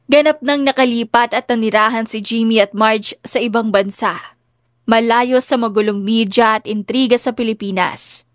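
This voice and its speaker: A woman's voice: on the high side, fairly even intonation, moderate pace, dry recording, clean studio sound. A woman narrating a scripted dramatic story as an audiobook reader.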